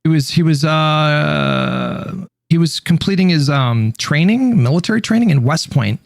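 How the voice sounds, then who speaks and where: A man telling a story casually in a halting, informal way with some swearing, at times reading aloud. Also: on the high side, wildly swooping pitch, dry recording.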